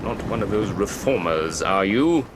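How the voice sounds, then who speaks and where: Gruff, animated old-time sea captain. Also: male voice, on the low side, a little slow. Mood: grumbling, wary. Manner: barks nautical orders, grumbles in old seafaring idiom. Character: gruff, salty, weary captain of a convict ship. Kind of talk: period radio drama acting. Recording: noisy shipboard sound effects behind.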